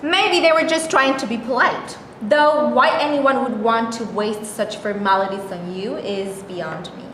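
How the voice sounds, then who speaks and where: Hapless, dryly barbed female stage role woman acting, slightly high voice, roomy echo. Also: a little slow, hardly any pauses, fairly bright tone. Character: self-pitying, klutzy woman with a dry barbed tongue. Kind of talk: staged reading of a play. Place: indoor stage reading, live room. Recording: echoey room, faint background noise.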